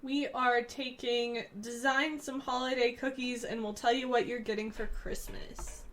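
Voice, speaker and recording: A woman's voice: a little high, level tone, a little slow, a bit bright. A young adult woman co-hosting a podcast in easygoing, giggly casual chat, her voice a little scratchy, over a remote call. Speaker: podcast co-host. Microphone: remote call, old headphones.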